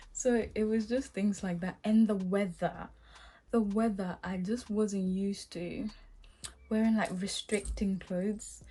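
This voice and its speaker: A woman's voice: medium pitch, slow pace, pauses often. A young woman from Ghana with a Ghanaian accent, casually telling a story to her vlog viewers and acting out her own reactions. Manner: animated, acts out her own past reactions with laughs. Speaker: vlogger.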